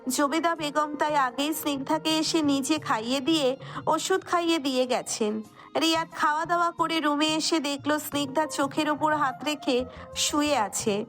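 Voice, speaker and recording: A woman's voice: high voice, moderate pace, fairly bright tone, faint music behind. A woman narrator reading a scripted romantic story.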